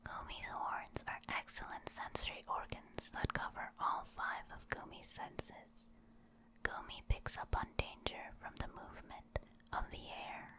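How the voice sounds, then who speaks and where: A woman reading reference entries in an unvoiced, breathy ASMR whisper, with measured, spaced-out phrasing. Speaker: ASMR host.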